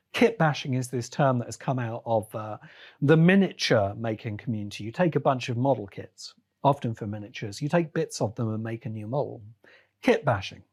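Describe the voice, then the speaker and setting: Male podcast host, slightly high voice, emphatic and deliberate, conversational solo commentary. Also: a little mellow.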